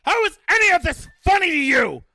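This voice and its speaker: A man's voice: very high-pitched, very animated, fairly quick, gravelly, very noisy, full character voice. A man playing a desperate, bitter middle-aged father in a fan audio drama, melodramatic and indignant. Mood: outraged. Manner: outraged, pleading, melodramatic. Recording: music under the voice.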